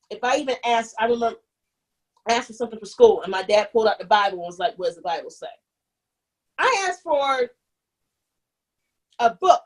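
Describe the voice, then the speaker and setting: A young adult woman telling her own story as an interview guest, candid and animated, often restarting sentences and acting out what others said. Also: fairly high pitch, husky, poor audio. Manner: animated, restarts often, acts out quoted voices.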